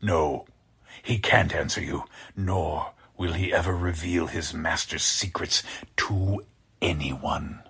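An actor playing a deranged, coldly polite mad scientist in 1940s radio drama style, speaking in a deliberate, menacingly formal manner with a husky, creaky voice. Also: male voice, sits low, steady tone, fairly bright tone, full character voice. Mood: menacing, gloating.

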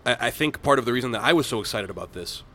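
A man from Miami co-hosting a casual podcast, thoughtful and measured, asking leading questions. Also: mid-range voice, animated, sharp tone, a little hiss or hum. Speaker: podcast co-host.

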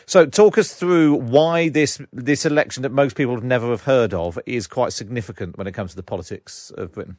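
A male radio presenter who asks brisk questions and links between segments, sometimes hesitating mid-question. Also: medium pitch, a little mellow, no echo, clean studio sound. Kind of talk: broadcast interview and links.

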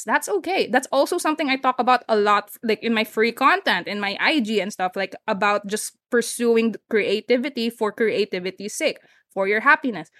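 A Filipino woman talking as a podcast guest, with a voice that sits a little high. She talks enthusiastically and encouragingly, slipping Tagalog words into her English. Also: fairly bright tone. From Philippines. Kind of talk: casual conversation.

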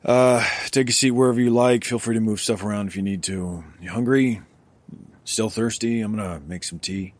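A low, closely dry-recorded actor as a strong and reserved man in an audio drama. He is kind underneath, speaking in terse, slightly hesitant phrases. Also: steady tone, moderate pace, a little mellow, no echo, lightly acted. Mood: hospitable. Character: Joseph Crane, strong, kind, reserved man of few words.

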